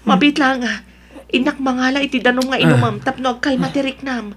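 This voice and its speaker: A woman's voice: sits high, brisk, breathy edge. A woman playing a devoted, distressed wife and mother in an Ilocano audio drama. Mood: concerned. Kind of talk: Ilocano radio-style drama acting.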